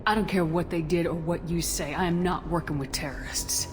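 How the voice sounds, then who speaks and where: An actress playing a guarded, decisive military commander in a video game, blunt and firm in manner. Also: female voice, lowish voice, steady tone, fairly quick, noisy background, lightly acted. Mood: distrustful. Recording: reverberant hall under music.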